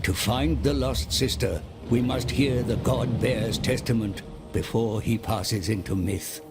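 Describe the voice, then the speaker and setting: A man's voice: lowish voice, measured pace, over music. A man playing a cunning seer who advises dragons and demons in a video game cinematic, grave, portentous and persuasive. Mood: portentous, solemn.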